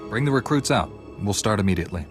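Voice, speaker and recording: An actor playing a calm, resolute warrior-monk hero with a measured, direct manner in a video game. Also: male voice, fairly low pitch, close to an everyday voice. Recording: clear background music.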